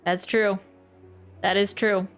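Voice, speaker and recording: Thoughtful woman streamer, mid-pitched and casual. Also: flat delivery, takes it slowly. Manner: thoughtful, hesitant, searching for words. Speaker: streamer reacting to a game. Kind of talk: casual live-stream commentary. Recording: game music behind the voice.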